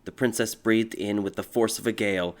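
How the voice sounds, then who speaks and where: A man narrating a dark fairy tale audiobook in a dramatic, scripted storytelling style. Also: fairly low pitch, steady tone, fairly quick. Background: background music.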